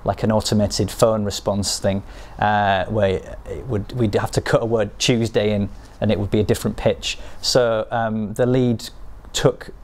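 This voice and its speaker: A man's voice: on the low side, little pitch movement, unhurried, few pauses. A male game developer being interviewed, who explains his work hesitantly with many fillers and restarts.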